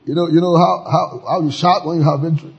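A male pastor preaching a sermon, exuberant, with sudden shouts of praise between stretches of scripture reading. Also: a little high, fairly even intonation, quick pace, highs cut off, heavy background noise. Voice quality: very husky.

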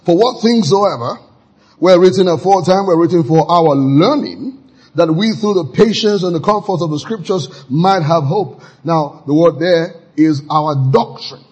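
A male pastor preaching a sermon, exuberant, with sudden shouts of praise between stretches of scripture reading. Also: fairly high pitch. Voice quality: very husky.

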